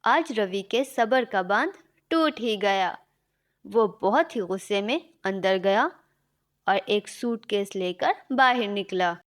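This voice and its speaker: A woman's voice: medium pitch, lively intonation, slow pace. A woman narrating a scripted story as a storyteller.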